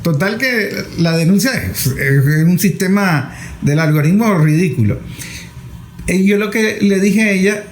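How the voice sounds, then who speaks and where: A man's voice: high-pitched, unhurried. A middle-aged man interviewing conversationally and sharing personal anecdotes. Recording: echoey room with faint noise.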